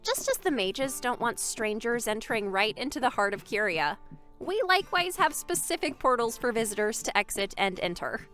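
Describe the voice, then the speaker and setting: A woman's voice: a little high, lively intonation, moderate pace, music in the background, close to an everyday voice. A woman playing a polite young noblewoman of a fantasy court in a fandub, speaking in a courteous, formal, articulate manner. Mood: polite, explaining. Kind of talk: fandub fantasy drama acting.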